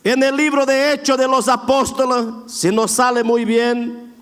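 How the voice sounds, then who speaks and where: A man preaches in a strikingly high voice that rings through a reverberant hall. His pace is slow, with words stretched out for emphatic exhortation. His Argentine border Spanish carries a clear Brazilian accent. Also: flat delivery, fairly bright tone. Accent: Brazilian-influenced border Argentine Spanish. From border area between Argentina and Brazil. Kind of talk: Pentecostal sermon. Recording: echoey hall with long reverb.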